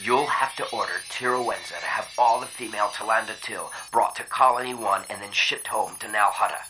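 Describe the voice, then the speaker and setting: A man voicing a commanding male alien clan leader in an audiobook, speaking with deliberate authority. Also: on the low side, sharp tone, a little hiss or hum, low-quality recording. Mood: stern, commanding. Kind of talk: dramatized audiobook narration.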